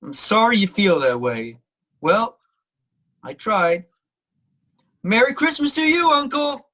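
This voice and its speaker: Man acting an animated, earnest young nephew. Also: high voice, slow, full character voice. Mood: earnest, pleading, then hearty, cheerful. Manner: earnest, hearty, pleading. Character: cheerful, warm-hearted young nephew, earnest and forgiving. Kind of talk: theatrical radio play acting.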